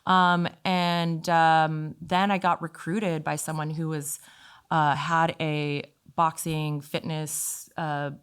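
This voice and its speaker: Chatty lower-pitched woman telling her story. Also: flat delivery, slow pace, fairly bright tone, smooth. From Italy. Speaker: podcast guest, fitness instructor. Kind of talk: casual conversational storytelling.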